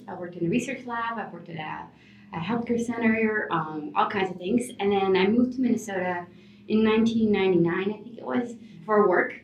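A woman from Venezuela talks quickly and animatedly as a podcast guest, with plenty of fillers. She sounds far from the mic. The room around her is noticeably echoey. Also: mid-range voice. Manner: animated, chatty, many fillers. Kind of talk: casual interview answers. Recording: roomy, distant remote mic.

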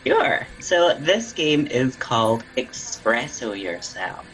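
A host running an improvised comedy interview in a deadpan, teasing way with absurd jokes. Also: mid-range voice, slow, rough recording.

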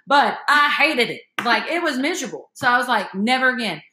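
A woman's voice: fairly high pitch, animated, fairly quick, pushed and bright, echoey room, a little hiss or hum. A woman, hosting a podcast and telling stories chattily, calling her listeners y'all. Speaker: podcast host. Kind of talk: casual storytelling chat.

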